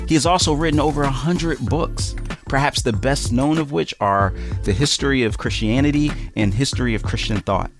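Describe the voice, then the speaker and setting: A man hosting a podcast, reading an upbeat, enthusiastic introduction and asking interview questions. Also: mid-range voice. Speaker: podcast host. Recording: music bed under intro.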